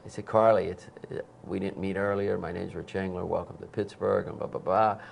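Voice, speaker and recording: Man, 60s, gravelly lowish voice, acts out quotes, studio lapel mic. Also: a little fast, a little hiss or hum. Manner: animated, acts out quotes with sudden loud bursts. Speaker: concert promoter, guest. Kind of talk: anecdotal storytelling. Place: TV studio set.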